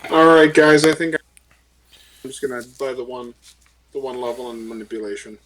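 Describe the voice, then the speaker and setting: A man's voice: medium pitch, normal speed, a bit bright, poor audio. A man chatting casually as a player in a tabletop role-playing game. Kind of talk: casual chat.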